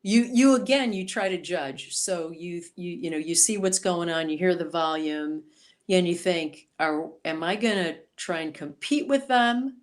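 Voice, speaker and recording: A woman singer-songwriter being interviewed on a podcast, talking in an animated, chatty way. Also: on the low side, unhurried. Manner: animated, chatty storyteller, voices quoted reactions.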